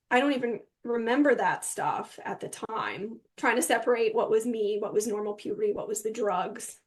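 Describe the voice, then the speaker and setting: A woman speaking as an interview guest, giving candid personal testimony in an earnest, rambling way that often seeks agreement. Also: on the high side. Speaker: interview guest recounting personal experience with psychiatric medication.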